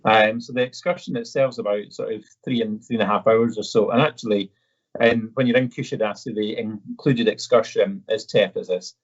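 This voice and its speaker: A man's voice: on the low side, fairly even intonation, brisk, husky. A man with an Edinburgh Scottish accent presenting a casual live question-and-answer session, polite and conversational with a hesitant delivery. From Edinburgh, Scotland. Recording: dull, slightly muffled audio.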